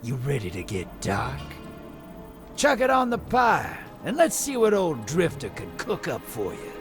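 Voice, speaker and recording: Sly folksy game rogue, high-pitched for a man, swinging intonation, drawling, wheedling, faint music behind. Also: huge pitch swings, a little slow. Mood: sly, playful. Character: sly, folksy rogue gambler and scavenger, male. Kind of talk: video game character acting.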